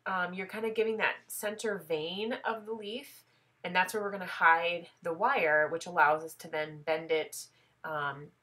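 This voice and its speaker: A woman's voice: lowish voice, fairly even intonation, unhurried, bright, forceful tone. A woman with a head cold explaining a craft project step by step in a chatty, self-deprecating tutorial voice.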